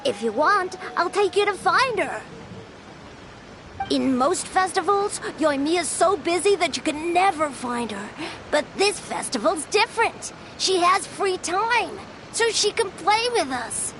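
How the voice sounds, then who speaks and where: A woman's voice: very high-pitched, expressive, measured pace, fairly bright tone, slight background noise, exaggerated voice, poor audio. A woman voicing a friendly, chatty young child in a video game, youthful and eager.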